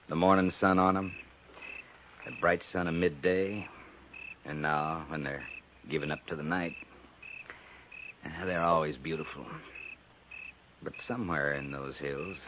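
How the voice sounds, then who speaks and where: Deep-voiced, brooding frontier agent. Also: male voice, unhurried, long pauses. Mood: wistful, foreboding. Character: brooding, weathered frontier Indian agent, uneasy and plainspoken. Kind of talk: 1950s radio western acting. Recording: dull, noisy 1950s radio recording.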